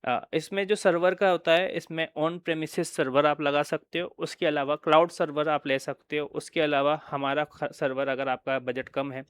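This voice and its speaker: A man's voice: a little high, fairly even intonation, normal speed, hardly any pauses, fairly bright tone, clear, resonant tone, no echo. A man with an Indian accent giving an informal product walkthrough, explaining and often checking in with listeners. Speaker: product presenter.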